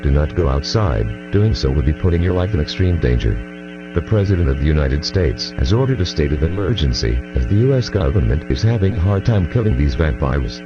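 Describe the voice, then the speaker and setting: A man's voice: a bass voice, big pitch swings. A man playing a grave emergency alert announcer, reading a scripted broadcast formally and steadily, in dull audio over music. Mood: grave, official. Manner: formal, measured.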